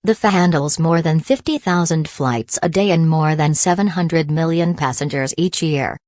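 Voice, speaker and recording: A woman reading a scripted news report in a steady, uniform way with no fillers. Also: sits low, moderate pace, no room sound, clean studio sound. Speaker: news reader.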